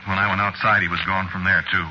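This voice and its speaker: Actor as low-voiced brooding killer, old radio. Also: male voice, flat delivery, quick pace, very noisy, natural voice. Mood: haunted, regretful. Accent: American. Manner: colloquial, hesitant, broken-up musing delivery. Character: guilty murderer narrating his crime, brooding, uneasy ordinary fella. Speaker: narrator and lead in a radio suspense play. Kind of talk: old-time radio suspense acting, first-person confessional narration. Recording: dull old-time radio recording. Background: loud music.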